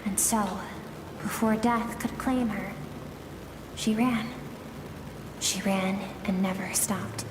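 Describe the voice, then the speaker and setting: An actress playing a grave, sorrowful woman in a video game, recounting a tragic past in measured, somber tones. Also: medium pitch, fairly even intonation, noisy background, close to an everyday voice. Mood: somber, bitter. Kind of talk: solemn dramatic storytelling. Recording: echoey long reverb with game music behind.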